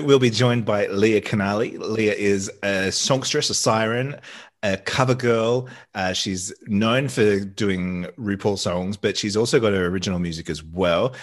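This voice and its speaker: A man from Australia co-hosting a casual podcast, telling stories and teasing his co-host with dry humor. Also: fairly low pitch.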